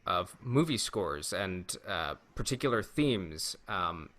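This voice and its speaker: A man co-hosting a podcast in casual chat, halting as he thinks aloud. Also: low voice, very animated, fairly bright tone. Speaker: podcast co-host.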